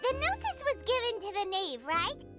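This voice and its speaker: A woman voicing a tiny, nosy, childlike fairy companion in a video game, squeaky and blunt, often hesitating with little exclamations. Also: very animated, normal speed, loud music behind the voice. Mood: puzzled, doubtful. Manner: hesitant exclamations, speaks of herself in third person. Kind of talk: cartoonish video game voice acting.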